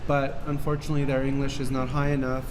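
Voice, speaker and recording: A man's voice: mid-range voice, monotone, fast. A Canadian man in his forties who teaches English, chatting casually and explaining things carefully like a teacher. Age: 40s. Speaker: co-host, ESL teacher. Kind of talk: casual chat. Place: shopping mall under renovation. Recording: noisy mall renovation behind.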